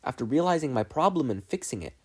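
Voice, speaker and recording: A man's voice: mid-range voice, very animated, fast talker, husky. A man voicing an earnest teenage boy student who speaks in a stiffly formal, emphatic way in a story reading.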